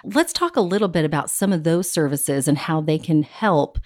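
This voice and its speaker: A woman's voice: low voice, normal speed, darkish tone, no room sound, clean studio sound. A woman hosting a podcast interview, upbeat and encouraging with her guest. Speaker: podcast host. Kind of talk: friendly interview chat.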